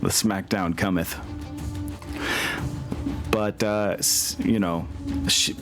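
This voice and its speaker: A man's voice: fairly low pitch, steady tone, takes it slowly. A man narrating a tabletop war game as game master, with casual asides, swearing and exclamations. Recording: music playing behind the voice. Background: music.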